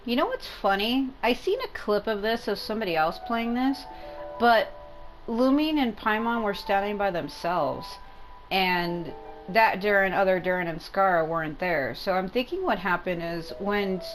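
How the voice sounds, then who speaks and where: A woman's voice: mid-range voice, expressive, moderate pace, rough recording. A woman giving casual let's-play commentary, chatty and thinking aloud as she plays. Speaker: gameplay streamer. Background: game music.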